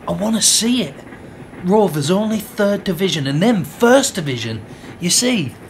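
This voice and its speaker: An actress playing a practical, matter-of-fact football enthusiast in a radio play. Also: female voice, medium pitch, expressive, moderate pace, a little hiss or hum, natural voice.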